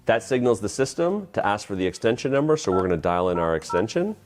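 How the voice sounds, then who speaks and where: A man's voice: on the low side, sing-song, quick pace, music in the background. A man presenting an instructional tutorial, explaining things plainly step by step. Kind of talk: instructional explanation of phone system use.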